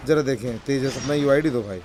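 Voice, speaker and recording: A man's voice: mid-range voice, racing, a little mellow. A man with an Indian accent chatting casually as a game streamer, informal and mixing in English words. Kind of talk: casual live stream chat with viewers. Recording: clearly noisy stream audio with clicks.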